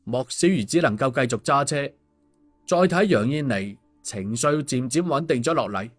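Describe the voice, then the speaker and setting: A male storyteller narrates a scripted audiobook. His delivery is colloquial and his pitch sits in the middle range. Faint music plays behind him. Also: lively intonation, normal speed. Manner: colloquial, with sentence-final particles.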